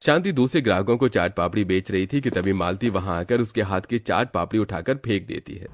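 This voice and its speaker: A man's voice: on the low side, fairly quick. A man giving scripted storytelling narration for a moral cartoon.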